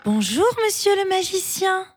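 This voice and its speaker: A woman voicing a small timid short-sighted female mole in a high, squeaky voice. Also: moderate pace, a bit bright, dry recording, faint music behind.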